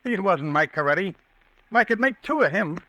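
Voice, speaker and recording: A man's voice: sits high, animated, moderate pace, bright, forceful tone, no room sound, soft background music, low-quality recording. An actor playing a brusque, folksy state policeman in an old-time radio drama.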